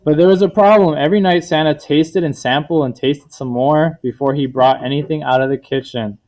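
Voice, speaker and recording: A man's voice: on the high side, fairly even intonation, fairly quick, a little mellow. A man teacher reading a picture book aloud to children, explaining words simply and checking understanding with questions. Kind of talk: read-aloud storytelling with questions to a class.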